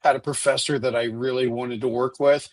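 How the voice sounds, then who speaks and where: A man in his fifties, stuffy and husky from allergies, answering questions as a candidate on a video call, chatty with frequent restarts. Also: medium pitch, steady tone, brisk, no echo. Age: 50s. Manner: chatty, restarts and repeats words. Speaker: state senate candidate. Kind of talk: virtual town hall answers.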